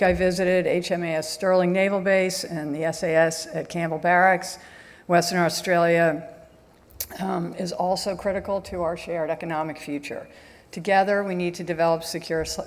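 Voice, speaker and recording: A woman delivers a formal address in a soft, measured manner, often pausing on a hesitant "um." Her voice sits slightly low for a woman. The sound carries a long reverb from a large event hall, picked up from a lectern microphone. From United States. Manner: soft-spoken, measured, with frequent hesitant ums. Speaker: ambassador giving welcome remarks. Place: large stadium event venue.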